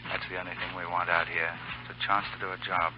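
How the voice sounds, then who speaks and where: A man playing an earnest, dutiful, fatherly soldier in a measured radio drama style. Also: low-pitched, flat delivery, lightly acted. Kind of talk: 1930s radio drama acting. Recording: noisy muffled old radio broadcast.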